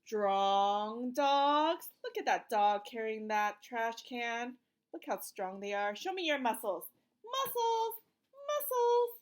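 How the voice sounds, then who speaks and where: A woman's voice: on the high side, animated, takes it slowly. A woman doing an interactive read-aloud for young children like a teacher, asking simple questions, giving encouraging prompts and reading the words with emphasis.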